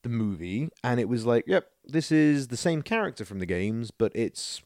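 A man's voice: mid-range voice, sing-song, moderate pace, darkish tone, no room sound, studio quality. A man co-hosting a casual podcast, giving opinions in a rambling way with incredulous rhetorical questions. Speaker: podcast co-host.

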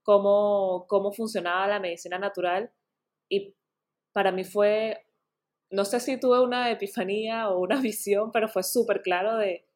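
An earnest woman guest talks through her story in a relaxed interview, her voice sitting at an everyday middle pitch. She often repeats the start of a phrase before going on, and her sentence endings fade softly. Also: moderate pace. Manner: earnest, often restarts words, soft trailing endings. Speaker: naturopath guest. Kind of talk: casual interview chat.

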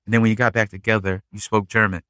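A man's voice: on the low side, little pitch movement, quick pace, clean tone, dry recording. A man hosting an interview, affirming his guest and checking his understanding with questions. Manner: warmly affirming, repeats himself, asks checking questions. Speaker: podcast host. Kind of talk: casual interview conversation.

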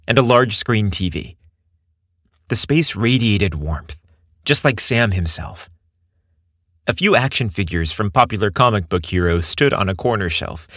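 A man's voice: mid-range voice, fairly bright tone, dry recording, studio quality. A man reading a scripted story as its narrator.

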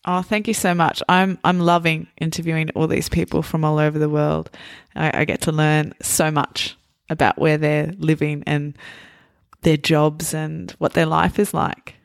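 A woman with a slightly low voice hosts a podcast interview. She asks her guest clear questions and thanks him warmly. The sound is close and dry. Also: little pitch movement, normal speed, no room sound. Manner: friendly, thanking and wrapping up. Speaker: podcast host.

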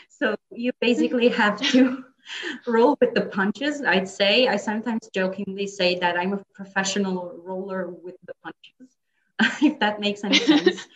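A woman's voice: medium pitch, a little slow, rough recording. Italian-accented woman, chatty podcast guest. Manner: chatty, laughs often, many fillers and restarts. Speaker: guest interpreter and translator. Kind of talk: casual podcast interview.

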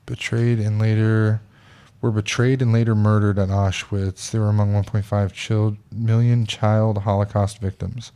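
A man's voice: fairly low pitch, level tone, normal speed, dark tone. A man hosting a casual podcast, chatting bluntly and reading news posts aloud. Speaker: podcast host.

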